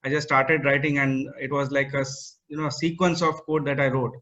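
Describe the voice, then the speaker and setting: A man giving an online meetup talk, pausing often with fillers and restarting his sentences. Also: mid-range voice, little pitch movement, a bit bright. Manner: hesitant, many fillers and restarts. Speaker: guest speaker. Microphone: video call. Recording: muffled call audio.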